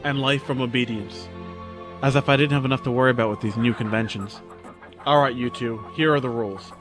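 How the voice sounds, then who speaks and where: A man playing a gruff, impatient farm overseer in an audio drama, curt and grumbling. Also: mid-range voice, fairly even intonation, fairly quick, music in the background, lightly acted. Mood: bossy. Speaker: voice actor.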